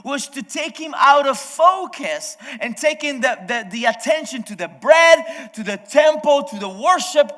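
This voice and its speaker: A man's voice: very high voice, lively intonation, a little slow, few pauses, pushed and bright, faint music behind. A male pastor whose first language is Spanish, preaching a sermon in a conversational way, speaking directly to the congregation and often restarting his sentences. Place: church service. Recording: echoey hall.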